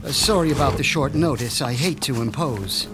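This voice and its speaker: An actor playing a meek, sinister underground doctor who speaks formally and softly sardonic. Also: male voice, medium pitch, moderate pace, natural voice. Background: music score.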